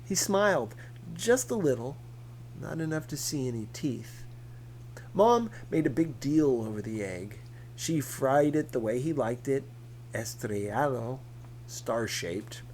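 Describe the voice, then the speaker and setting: A man reading a novel aloud as scripted narration, in a measured, deliberate manner. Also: medium pitch, darkish tone, a little hiss or hum, rough recording. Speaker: audiobook reader.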